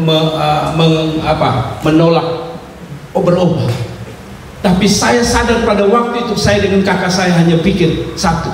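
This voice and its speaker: High-voiced pastor in an echoey hall. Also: male voice, far from the mic, slight background noise. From Indonesia. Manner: animated storytelling, acting out dialogue, swings between shouts and low asides. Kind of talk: sermon with personal testimony.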